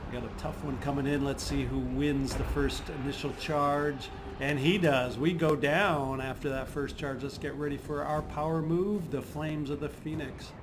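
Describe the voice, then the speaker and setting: A man's voice: fairly high pitch, steady tone, normal speed. A man with a slightly scratchy voice giving unscripted strategy game commentary, thinking aloud as he goes. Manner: thinking aloud, hesitant, throat-clearing. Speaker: host of a gaming channel. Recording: game music behind the voice. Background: video game music.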